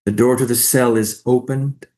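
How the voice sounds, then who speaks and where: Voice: mid-range voice, steady tone, normal speed, mellow. A narrator reading out stage directions in a scripted staged play reading.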